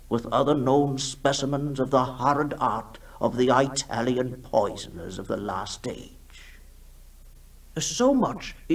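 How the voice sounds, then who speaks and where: A man playing a formal, hesitant scholarly gentleman in a 1960s radio drama, with a husky voice. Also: fairly high pitch. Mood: grave. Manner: halting, formal, fussy. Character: scholarly, hesitant old-time gentleman writing a solemn account. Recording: distant 1960s radio recording.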